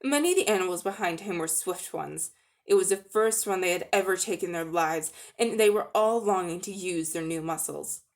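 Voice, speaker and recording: A woman narrating a children's story as a dramatic reading, with expressive storytelling delivery. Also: fairly low pitch, fairly quick. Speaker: audiobook-style narrator.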